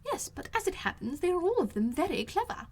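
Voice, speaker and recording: A woman voicing an excitable, fussy middle-aged mother in an audiobook, gushing and insistent. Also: very high voice, a little fast, pitched above the performer's own voice, exaggerated voice. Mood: indignant, coaxing.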